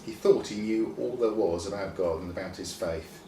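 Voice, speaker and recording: A man reading a scripted children's story expressively, with deliberate pauses. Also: low-pitched. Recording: roomy, distant mic, faint noise.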